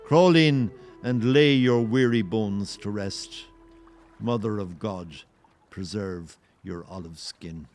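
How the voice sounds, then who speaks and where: A man narrating a historical audio play in a solemn, literary and measured way. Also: lowish voice, darkish tone, faint music behind. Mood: grave. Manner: measured, poetic. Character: solemn male storyteller of a historical tale.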